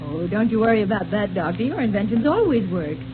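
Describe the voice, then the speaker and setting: A woman's voice: mid-range voice, very animated, fairly quick, lightly acted. An actress playing a curious, admiring young woman in a 1930s science fiction radio serial, asking questions in an eager, informal way. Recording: scratchy, humming old radio, narrow band.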